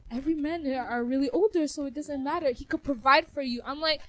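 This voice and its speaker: A woman's voice: high voice, quick pace, a bit bright. A seventeen-year-old girl chatting on a podcast, acting out what her relatives said in exaggerated voices. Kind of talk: casual chat.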